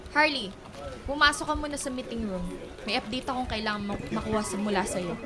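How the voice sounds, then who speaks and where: A woman's voice: on the high side, brisk, music in the background, lightly acted. A woman acting as a harsh, scolding office boss with a Filipino accent in a radio drama. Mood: stern. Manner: scolding, demanding. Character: harsh, accusing female office boss berating staff.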